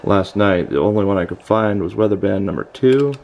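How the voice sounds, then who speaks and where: American male reviewer, low and gravelly, understated and hesitant, casual demo over faint noise. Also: fairly quick, darkish tone. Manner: hesitant, understated, matter-of-fact. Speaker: product reviewer. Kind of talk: casual product demonstration.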